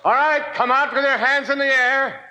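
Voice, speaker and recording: A man's voice: very high voice, steady tone, moderate pace, pushed and bright, full character voice, rough recording. A man acting a tough police lieutenant in a 1940s radio crime drama, clipped and authoritative. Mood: shouting commands.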